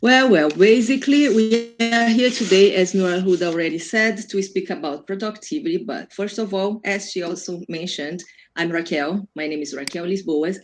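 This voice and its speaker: A woman's voice: on the low side, normal speed. A woman from Brazil with a Brazilian accent, a native Portuguese speaker, presenting an online workshop in a conversational way and rephrasing as she explains. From Brazil, Amazon region. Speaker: workshop presenter, self-development coach.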